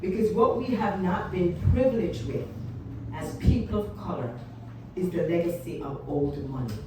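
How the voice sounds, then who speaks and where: A woman in her fifties, a panel guest who tells stories in a relaxed way and repeats her points. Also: fairly low pitch, moderate pace, background noise. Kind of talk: panel discussion. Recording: echoey room, mic from a distance.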